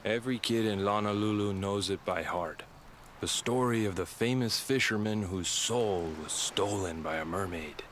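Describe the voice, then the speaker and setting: A man's voice: on the low side, moderate pace, fairly bright tone, a little hiss or hum, natural voice. An actor playing a young island man who earnestly retells his family's legend. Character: young Hawaiian man, grandson of a cursed fisherman. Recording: game audio over background music.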